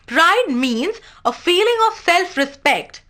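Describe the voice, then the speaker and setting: A woman's voice: very high voice, big pitch swings, slow pace, sharp tone. A woman presenting to children in a cheerful, instructive way, teaching new words. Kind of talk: teaching vocabulary to kids.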